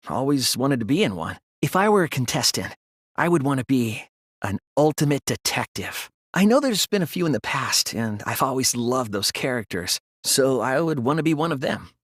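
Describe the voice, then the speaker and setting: A man voicing an earnest, sensitive young student detective in an anime video game, with intense, emotional, sometimes halting delivery. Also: medium pitch, lively intonation, a bit bright, dry recording, clean studio sound. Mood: eager, excited. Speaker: voice actor.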